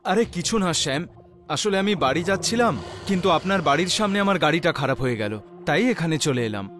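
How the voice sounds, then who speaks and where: An actor playing a polite, modest doctor in a dubbed story drama. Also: male voice, on the high side, lively intonation, fairly quick, a bit bright. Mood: modest, friendly. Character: polite, modest male doctor visiting an old friend.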